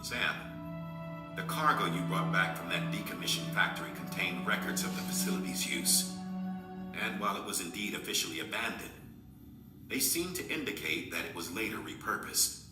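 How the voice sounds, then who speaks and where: A man's voice: low-pitched, animated, moderate pace. A man playing a formal official who gives a matter-of-fact mission briefing in a video game. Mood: businesslike. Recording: roomy echo, loud music behind.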